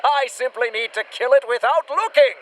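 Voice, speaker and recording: A man's voice: extremely high pitch, moderate pace, a bit bright, a little hiss or hum. A man playing a boastful, pompous big-game hunter in a radio play. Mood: defiant. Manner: pompous, theatrical, self-praising.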